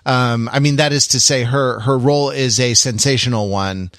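A man's voice: medium pitch, fairly even intonation, normal speed, a bit bright, dry recording, studio quality. A man co-hosting a podcast, chatting casually in a wry, digressive way as he thinks aloud. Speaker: podcast co-host. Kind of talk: casual pop-culture discussion.

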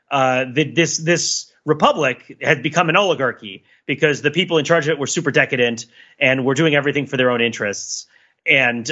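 A man's voice: fairly high pitch, pushed and bright, highs cut off. A man in a casual analytical discussion, rambling emphatically, repeating words and asking rhetorical questions as a podcast panelist.

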